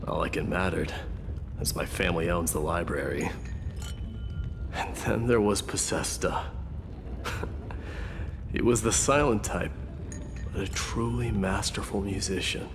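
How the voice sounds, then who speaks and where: A man playing a proud, mournful exiled warrior prince, grave and deliberate, in an English game cutscene monologue. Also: deep voice, noisy background. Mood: wistful. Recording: long reverb with music behind.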